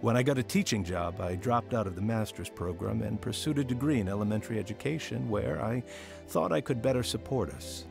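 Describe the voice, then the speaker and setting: A man's voice: lowish voice, animated, fairly quick, vocal fry, music in the background. An actor playing a regretful married schoolteacher and father telling his life story in a radio drama. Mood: reflective. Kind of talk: radio drama narration and dialogue.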